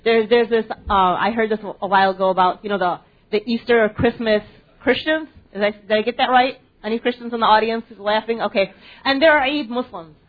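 A woman's voice: a little high, a little fast. American woman talking to an audience, tinny sound. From United States. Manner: engaging, asks audience questions, frequent ums and restarts. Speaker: panel moderator addressing a student audience. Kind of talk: public talk with audience interaction. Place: library event space. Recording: tinny phone-like recording.

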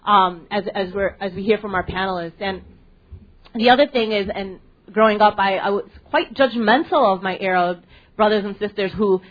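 A woman's voice: medium pitch, moderate pace. American woman talking to an audience, tinny sound. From United States. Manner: engaging, asks audience questions, frequent ums and restarts. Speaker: panel moderator addressing a student audience. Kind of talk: public talk with audience interaction. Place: library event space. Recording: tinny phone-like recording.

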